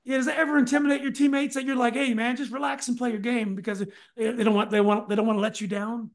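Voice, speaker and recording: A man's voice: extremely high pitch, little pitch movement, quick pace, creaky. A middle-aged man hosting a podcast interview over a video call from his home study, often restarting his questions. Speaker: podcast host. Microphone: desk mic on video call.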